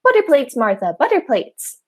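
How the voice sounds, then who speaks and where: Girl playing a high, swooping, genteel old aunt. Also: wildly swooping pitch, moderate pace, exaggerated voice. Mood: prim, unconcerned. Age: teenager. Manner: genteel, gently scolding. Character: sweet, unflappable elderly aunt, primly matter-of-fact. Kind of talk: high school radio play acting.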